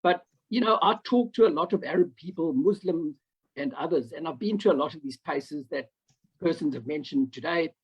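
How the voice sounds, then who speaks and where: A South African man giving a rambling, halting comment on a video call, full of fillers and restarts. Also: on the high side, slightly rough. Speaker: audience member asking a question. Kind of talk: online Q&A comment. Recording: dull lo-fi video call audio.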